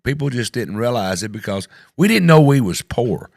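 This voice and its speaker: A man's voice: medium pitch, very animated, moderate pace, gravelly, dry recording, clean studio sound. A man with a West Tennessee accent hosting a talk show, chatting in a folksy, rambling way. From Tennessee, USA. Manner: folksy, rambling, frequent "yeah" agreements. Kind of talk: casual chat.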